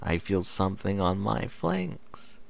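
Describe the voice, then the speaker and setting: A man's voice: deep voice, very animated, takes it slowly, creaky, full character voice. A man voicing a bashful, puzzled female pony in a read-aloud story, tentative and questioning.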